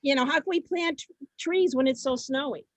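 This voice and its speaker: A woman's voice: high voice, fairly quick, dry recording. A woman informally hosting a live online event, flustered and often repeating her phrases. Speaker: host. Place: indoors, on a video call. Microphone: video call.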